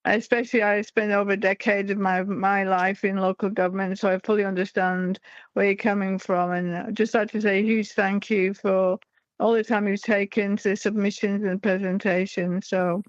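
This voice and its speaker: Australian woman on a parliamentary committee, her voice going hoarse, speaking earnestly and evenly over a dull, lo-fi line. Her tone stays level throughout. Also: mid-range voice, flat delivery, moderate pace, no room sound. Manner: earnest, thanking warmly. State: losing her voice. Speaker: committee member.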